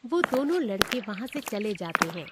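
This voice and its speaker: A woman's voice: medium pitch, very animated, normal speed, noisy background. A woman narrator reading a scripted moral story.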